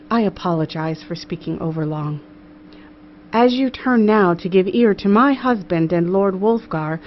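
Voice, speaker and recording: A woman voicing an earnest, gracious queen giving a formal, measured address to her council in an audiobook. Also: mid-range voice, natural voice. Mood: earnest, solemn. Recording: muffled audio with clear background noise.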